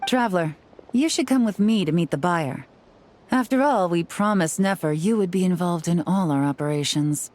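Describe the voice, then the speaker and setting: A woman playing a cool, shrewd operative in an anime game, measured, decisive and matter-of-fact. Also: lowish voice, darkish tone, natural voice. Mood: composed, businesslike. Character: cool, shrewd female operative, composed negotiator.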